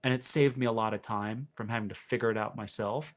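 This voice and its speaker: A man's voice: lowish voice, fairly even intonation, quick pace. A man speaking as a guest coach in a conversational interview, thinking aloud and rephrasing as he goes.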